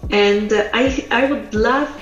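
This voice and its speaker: A woman from Russia, a public speaking trainer telling her story as an interview guest, slow and thoughtful with many hesitations. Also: on the high side. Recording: roomy echo, dull lo-fi sound, faint music.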